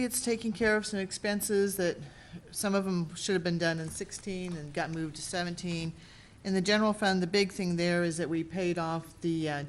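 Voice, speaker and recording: A woman's voice: on the low side, a little hiss or hum. A woman acting as a city finance officer, explaining a budget amendment at a public meeting in a plain, matter-of-fact way.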